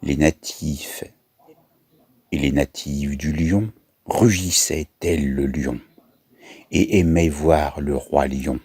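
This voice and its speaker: A man telling pun jokes in a slow, improvised comedy monologue, laughing at his own lines. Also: extremely low pitch, a little mellow, breathy edge.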